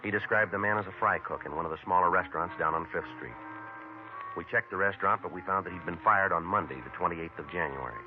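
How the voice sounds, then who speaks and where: A terse police detective voiced by a man lays out the facts in a very low, clipped, matter-of-fact voice and moves briskly through the case. It comes through thin and phone-like, as in an old radio crime broadcast. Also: music in the background, lightly acted. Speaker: detective and narrator. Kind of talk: 1950s radio crime drama. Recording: tinny vintage radio broadcast.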